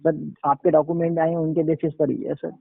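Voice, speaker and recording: A man's voice: on the high side, flat delivery, a little fast, vocal fry, breathy edge, dry recording. A man working as a customer service agent on a phone call, deferential but defensive and repeating himself. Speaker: insurance claims call executive. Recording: crackly narrowband phone line.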